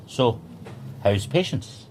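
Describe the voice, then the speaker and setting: An actor playing a detective's casual, guarded younger brother in a radio drama. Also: male voice, slow pace, a little mellow, slight background noise, lightly acted, poor audio. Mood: sheepish.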